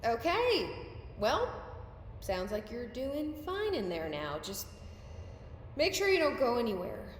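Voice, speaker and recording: An actress playing a composed, dryly wry game heroine who muses to herself in an understated way. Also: female voice, a little high, sing-song, takes it slowly, pauses often, slight background noise. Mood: puzzled, wry.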